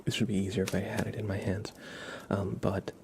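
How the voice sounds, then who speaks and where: Male ASMR live streamer, low and mellow, soft with slips into whisper, informal halting explainer, slight noise behind. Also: level tone, vocal fry.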